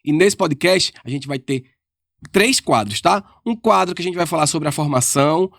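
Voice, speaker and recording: A man hosts a podcast interview in a friendly, affectionate way, often ending his sentences with short check-in tags. His voice sits somewhat high for a man. The sound is dry and close, and there is no room echo. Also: animated, moderate pace, creaky, fairly bright tone. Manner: friendly and affectionate, ends many lines with "tá?". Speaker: podcast host.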